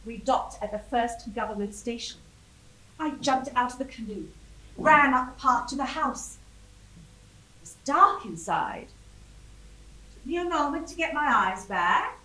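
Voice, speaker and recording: Actress as a haughty, animated colonial lady. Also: sits high, heavily acted. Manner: imperious, deliberate, vividly dramatic storytelling. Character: haughty, spirited English colonial Rani, jealous and proud. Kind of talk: theatrical monologue. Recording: echoey room, distant microphone.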